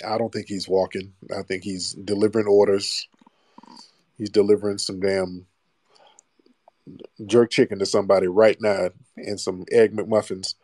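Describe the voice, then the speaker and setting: A man hosts a live call-in show and mocks his callers in a loose, rambling way. His voice sits low. The sound is dry and close, with no room echo. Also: little pitch movement, moderate pace, long pauses, darkish tone. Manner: teasing, mocking, rambling. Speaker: livestream host taking callers. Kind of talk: casual call-in chat.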